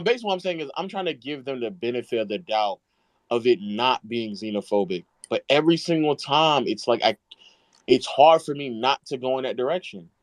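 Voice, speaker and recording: A man's voice: mid-range voice, no room sound. A man in a casual discussion, talking emphatically, swearing and restarting his sentences often. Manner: emphatic, profane, frequent restarts.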